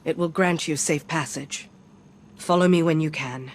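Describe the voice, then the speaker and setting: A woman's voice: deep voice, normal speed, a little hiss or hum, lightly acted. A woman playing a stern, resolute warrior leader with a terse delivery in a video game. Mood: resolute, solemn. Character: stern, grieving female warrior leader of her people. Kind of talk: dramatic game cutscene acting. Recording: music behind the voice.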